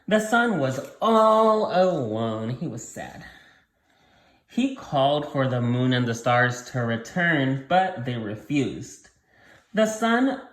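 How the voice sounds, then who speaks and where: A man's voice: medium pitch, fairly bright tone, roomy sound. A man reading a story aloud to young children as their teacher, explaining things simply and repeating key points.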